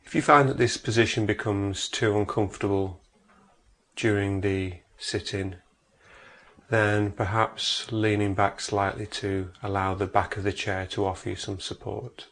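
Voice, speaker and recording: A man narrating a guided meditation as an instructor, in a measured way with long pauses between phrases. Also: fairly low pitch, level tone, a bit bright.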